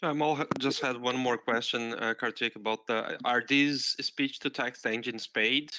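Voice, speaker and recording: A man moderating an online project presentation, reading out audience questions in a relaxed way. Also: mid-range voice, unhurried, no echo.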